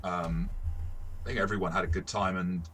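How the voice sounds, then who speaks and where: Deep, bright-voiced man explaining casually. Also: fairly even intonation, normal speed, slight background noise. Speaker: co-host and game master. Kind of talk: casual discussion and review.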